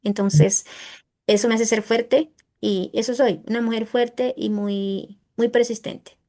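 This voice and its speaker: A woman writer with a Colombian Caribbean coast accent, answering interview questions haltingly as she searches for words. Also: a little high, steady tone, mellow. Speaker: guest writer.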